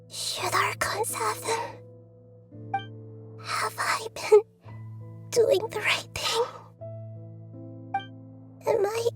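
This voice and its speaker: A childlike goddess of wisdom in a video game, wistful and gentle. The voice is very high and soft, with slow, hesitant phrases that often sink toward a whisper. Music plays underneath. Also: female voice, full character voice. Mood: lonely, doubting. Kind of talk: video game cutscene acting.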